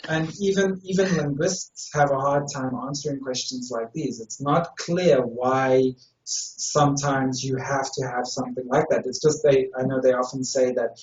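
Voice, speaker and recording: A man's voice: mid-range voice, fairly even intonation, normal speed. A young man from South Africa, a native Afrikaans speaker with a South African accent, giving thoughtful, explanatory interview answers as a language tutor, often restarting his sentences. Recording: distant, roomy, dull sound.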